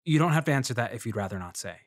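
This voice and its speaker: An actor playing a polite, measured freelance journalist who narrates his investigation in a fiction podcast. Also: mid-range voice, lively intonation, no room sound, studio quality, close to an everyday voice. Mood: polite, careful. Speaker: narrator and lead character.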